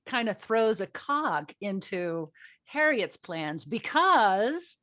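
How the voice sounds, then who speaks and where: A woman acting as host of an informal panel. Her voice sits at a middle pitch. She talks in a loose, conversational way as she steers the discussion. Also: big pitch swings, slow pace, a bit bright. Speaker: host and playwright. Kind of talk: casual hosting of a cast panel.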